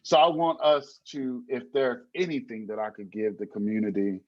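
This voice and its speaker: A man's voice: mid-range voice, level tone, moderate pace, lo-fi sound. A man speaking as a panelist on a video call, emphatic and preacherly, repeating his key points.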